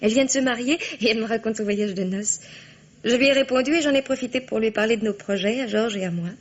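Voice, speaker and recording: A young woman playing an earnest, well-bred, spirited granddaughter in a radio drama, polite and at times hesitant, with a slightly breathy, husky voice. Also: fairly high pitch, fast talker, muffled recording, close to an everyday voice. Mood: animated. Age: young adult. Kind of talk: French radio drama acting.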